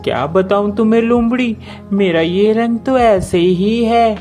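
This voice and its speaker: A man's voice: high voice, a little slow, darkish tone, faint music behind, voice pushed up. A man voicing a sad, lonely, helpful male rabbit in a plaintive storybook manner.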